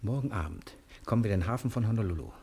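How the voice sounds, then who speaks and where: A man's voice: lowish voice, expressive, rapid-fire, warm tone, slight background noise. A man playing a cheeky, self-assured thief on the run who soothes his wife, in a German radio crime-comedy serial. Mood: confident scheming.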